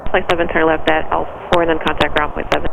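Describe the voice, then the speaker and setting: A man working as an air traffic tower controller, giving clipped, procedural clearances and instructions over aviation radio. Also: a little high, little pitch movement, very fast. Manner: clipped, procedural, matter-of-fact. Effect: aviation radio transmission.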